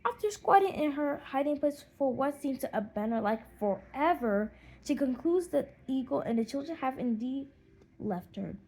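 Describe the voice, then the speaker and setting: A woman reading a children's audiobook in an expressive, animated storytelling manner. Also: high voice, moderate pace. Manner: animated storytelling with acted character voices. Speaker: audiobook narrator.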